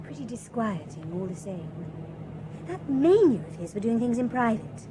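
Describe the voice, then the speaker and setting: Shallow cheerful young woman for radio drama: bright, animated, noise behind. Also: a little high, moderate pace. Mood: chatty. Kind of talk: BBC radio drama acting.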